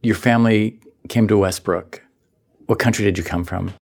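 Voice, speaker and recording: A male interviewer with a very low voice and heavy fry asks gentle, probing questions. He keeps the tone conversational, steering the talk as host. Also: fairly even intonation, normal speed.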